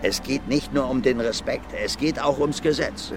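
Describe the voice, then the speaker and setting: An actor playing a gruff, blunt violent brawler in his fifties under questioning in a radio drama, plain-spoken and repetitive. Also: mid-range voice, moderate pace, music in the background, natural voice. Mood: defiant, stubborn. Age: 50s. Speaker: suspect being questioned.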